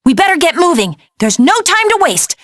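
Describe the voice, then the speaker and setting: A heroic young adventurer woman in a video game, with a high and very lively voice. She is brisk and earnest, bossing and encouraging her team with real care, recorded close and dry. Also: no room sound, exaggerated voice. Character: spirited young female adventurer, bossy, caring, heroic. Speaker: video game character, dispatcher and guide. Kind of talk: animated video game voice acting.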